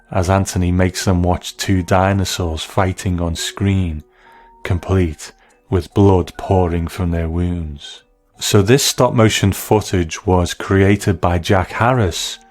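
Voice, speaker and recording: A man hosting a scripted episode commentary, speaking in a measured, appreciative way. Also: deep voice, a little mellow. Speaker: host of a TV episode commentary show.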